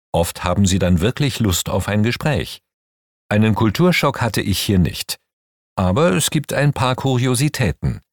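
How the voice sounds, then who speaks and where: A middle-aged man from Romania, a Hungarian native speaker and language teacher, slowly and clearly reading a first-person account for a learning audio. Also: lowish voice, no echo, clean studio sound.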